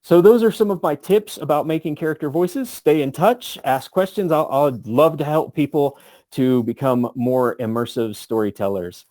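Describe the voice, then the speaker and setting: A man's voice: fairly high pitch, normal speed, darkish tone, no echo. A man giving a casual instructional talk as a tutor, thinking aloud as he explains. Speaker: voice tutor for tabletop gamers.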